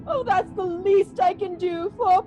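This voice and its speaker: An actress playing a sweet, demure young widow and bride in old-time radio melodrama style. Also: female voice, very high-pitched, measured pace, dark tone, muffled recording, soft background music. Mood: grieving, distraught.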